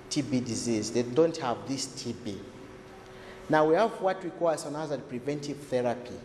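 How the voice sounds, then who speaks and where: Echoey, fairly high-voiced man explaining. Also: darkish tone. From Zambia. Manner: didactic, repeats points, rhetorical questions. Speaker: health expert. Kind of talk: explanatory talk to camera. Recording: echoey reverberant room, faint noise.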